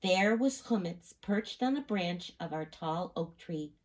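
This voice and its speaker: A woman's voice: lowish voice, animated, a little slow. A woman reading a children's story aloud, expressively, sometimes turning to address her listeners.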